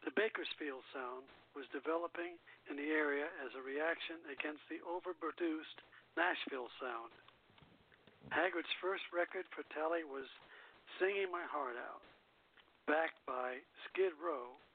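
A man's voice: on the high side, measured pace. A man hosting a radio show, reading a music biography aloud and stumbling over the script now and then. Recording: muffled, phone-like internet radio call-in line.

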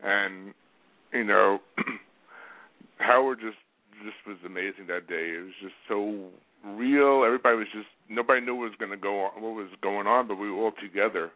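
A man's voice: fairly low pitch, moderate pace, dry recording. A man co-hosting a radio show in casual chat, his voice thickened by a cold, over a phone line. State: has a cold, voice deeper than usual. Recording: narrow phone-line sound.

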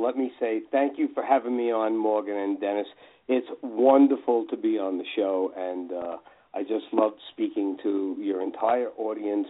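A man with a New Jersey accent telling his story as an interview guest, often circling back on his phrases. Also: fairly low pitch. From northern New Jersey, US. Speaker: guest author. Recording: dull lo-fi radio call.

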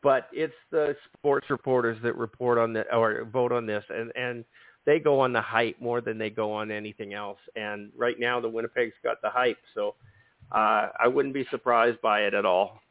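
A man hosts a casual sports podcast while he is losing his voice, so he sounds hoarse and strained. He stumbles, repeats words and restarts his sentences. The sound comes over a dry, tinny, phone-like line. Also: mid-range voice, steady tone, dry recording. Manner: halting, repeats words when starting. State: losing his voice, sore throat. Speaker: podcast host.

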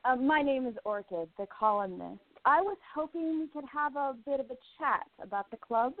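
Sassy, lively flapper columnist played by a woman. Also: high-pitched, moderate pace. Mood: sassy, flirtatious. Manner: sassy, breezy, self-assured. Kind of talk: 1920s radio play acting. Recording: tinny narrow-band phone-like audio.

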